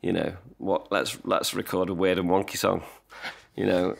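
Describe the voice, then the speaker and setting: Low gravelly man chatting on a video call. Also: level tone, normal speed. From England. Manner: rambling, self-deprecating, many restarts. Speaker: guest songwriter. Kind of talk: casual podcast conversation. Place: living room at home. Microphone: desk mic on stand, video call.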